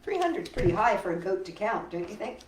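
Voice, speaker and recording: Animated woman reading to children, roomy sound. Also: medium pitch, fast, background noise. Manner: expressive, childlike wonder, rhetorical questions to listeners. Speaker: children's storytime host. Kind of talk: reading a picture book aloud to children with chatty asides. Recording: roomy echo, distant microphone.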